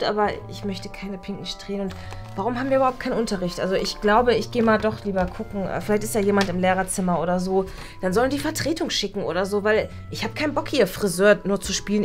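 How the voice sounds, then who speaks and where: A woman playing a fussy, fast-talking schoolgirl. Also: medium pitch, lightly acted. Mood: uneasy. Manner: fussy, questioning, talks things through nervously. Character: cautious rule-abiding schoolgirl, worried sister. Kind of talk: scripted classroom sketch.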